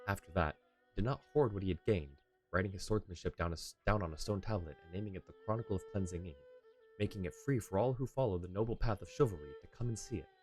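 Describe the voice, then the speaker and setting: A genteel young swordsman from a video game, read by a man, talks in a low voice with bookish polish. He is polite, chatty and lightly playful. Music plays behind. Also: quick pace, a little mellow, lightly acted. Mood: chatty, earnest. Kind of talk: reading game dialogue in character.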